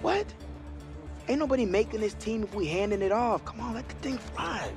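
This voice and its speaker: A man's voice: high voice, expressive, normal speed, soft background music. A man playing a brash, cocky young football teammate in a video game drama. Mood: urging. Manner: brash, urging. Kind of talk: scripted game drama acting.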